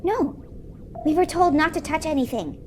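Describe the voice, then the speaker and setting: A woman's voice: high-pitched, expressive, normal speed, darkish tone, slight background noise. A woman playing a sensible, skeptical young girl in a comic audio drama, pert and questioning. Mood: wary.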